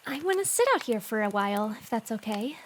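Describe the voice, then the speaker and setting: A woman playing a sweet, excitable teenage girl with a crush in a fan audio drama, expressive and earnest. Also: fairly high pitch, fairly quick, a little hiss or hum. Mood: soft, dreamy.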